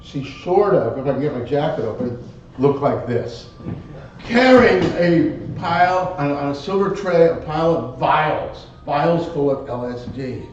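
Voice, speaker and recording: Casting: male amateur stage storyteller, higher-set voice, dramatic halting delivery, muffled roomy recording. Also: lively intonation. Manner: theatrical, halting phrases, acted outbursts. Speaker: contest speaker telling a tall tale. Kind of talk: live dramatic storytelling speech. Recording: very echoey room, distant muffled mic, rumble.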